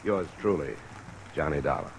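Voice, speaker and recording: A man's voice: extremely low pitch, normal speed, poor audio. An actor playing a world-weary male insurance investigator in old-time radio drama, with a clipped, wry delivery. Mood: weary, dry. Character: world-weary, hard-boiled male insurance investigator narrating his cases. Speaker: detective lead and narrator. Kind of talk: 1940s-50s radio detective acting and narration. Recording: old radio recording under loud rain noise.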